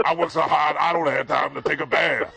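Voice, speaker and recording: Gravelly man ranting on the phone. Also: on the high side, steady tone, brisk. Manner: rambling, repetitive, complaining, bursts into shouting at someone in the room. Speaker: prank caller. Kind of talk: comic phone rant.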